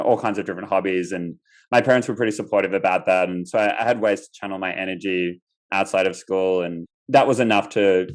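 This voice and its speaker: A young man from Australia, a guest on an interview podcast, telling his story casually with lots of fillers and restarts. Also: lowish voice. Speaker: guest entrepreneur.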